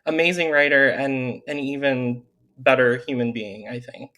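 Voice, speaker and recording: Earnest man guest, mid-pitched. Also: fairly even intonation, normal speed. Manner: earnest, warm-hearted, with fillers like "like" and "um". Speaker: author guest. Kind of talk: casual interview chat.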